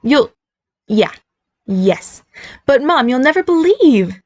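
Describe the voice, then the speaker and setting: A woman's voice: high-pitched, big pitch swings, takes it slowly, a little mellow, slightly rough, no echo, dull audio, pitched above the performer's own voice, full character voice. A woman voicing a nervous, bubbly fairy godmother mother who keeps reassuring her son. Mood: chirpy nervous.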